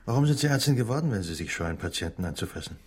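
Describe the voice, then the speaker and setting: A cool, unimpressed questioner in a radio drama. His low, husky voice is formal and curt as he probes. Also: lively intonation, very fast. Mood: cool, challenging. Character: cool, probing hired male interrogator, curt and unimpressed. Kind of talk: German radio drama acting.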